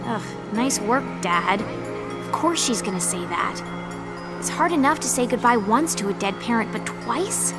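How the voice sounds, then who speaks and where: A sardonic young woman speaks in a fairly high voice. She is blunt and impatient, in the style of a fantasy game drama, with music clearly playing behind her. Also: animated, measured pace. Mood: sarcastic. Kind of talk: video game audio drama acting.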